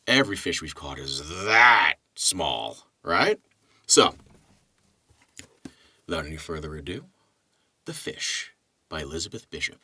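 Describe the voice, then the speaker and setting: Slow, very animated man reading literature aloud. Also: extremely low pitch. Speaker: narrator and literature teacher. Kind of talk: poetry reading with casual introduction.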